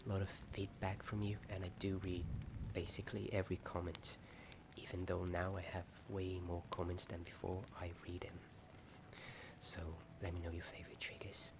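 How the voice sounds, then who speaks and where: A man whispering a hesitant, rambling ASMR chat in a soft, often breath-only voice. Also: low-pitched, flat delivery, moderate pace. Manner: hesitant, rambling, self-deprecating. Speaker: ASMR video host. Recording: strong ambient noise behind whisper. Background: added ambience sound.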